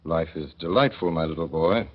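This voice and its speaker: A man narrating as a gentle, thoughtful father in a 1930s radio drama, with measured, tender storytelling. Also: very deep voice, slightly rough. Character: gentle, thoughtful father telling of his son. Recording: dull old 1930s radio recording.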